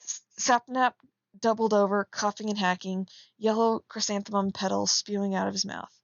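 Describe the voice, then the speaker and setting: A woman's voice: medium pitch, steady tone, normal speed, gravelly, no echo, dull audio. A giggly woman casually reading fan fiction aloud and breaking off to comment on it as she streams.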